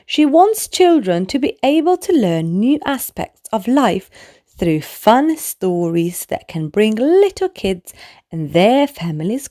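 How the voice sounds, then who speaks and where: A woman narrating a children's bedtime story in an expressive way, speaking to small children. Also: a little high. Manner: animated, slow, clearly addressed to small children. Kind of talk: expressive read-aloud bedtime story for toddlers.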